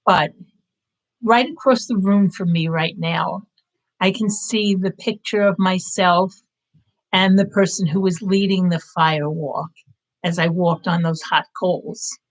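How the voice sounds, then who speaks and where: A woman's voice: fairly low pitch, fairly even intonation, takes it slowly, poor audio. A woman of fifty-nine hosting her own channel, giving a casual live-stream update in an earnest, reflective and encouraging way. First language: English. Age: 50s. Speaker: language-learning channel host. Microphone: live-stream setup.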